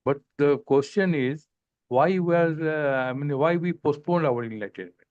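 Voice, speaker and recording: A man's voice: on the high side, moderate pace, a little mellow, no room sound. A man with a slightly raised voice asks his teacher a question over a muffled video call. The audio is dry and dull, and he is deferential. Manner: deferential, says sir often. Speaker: participant asking questions. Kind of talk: online spiritual Q&A.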